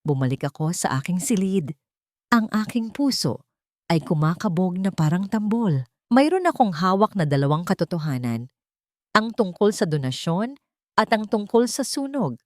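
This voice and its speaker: A woman's voice: lowish voice, big pitch swings, normal speed, dry recording. A woman narrating a first-person audiobook drama in a scripted, dramatic storytelling style.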